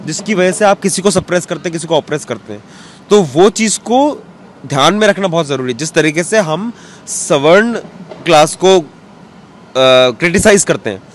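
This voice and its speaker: Male guest, thirties, somewhat high-pitched, reflective, code-switching into English, recorded outside with light street noise. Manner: mixes English words into speech. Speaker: cartoonist, interview guest. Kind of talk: reflective interview answer. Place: outdoors on a bench in a courtyard. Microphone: interviewer's handheld microphone. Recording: outdoor street noise, traffic behind.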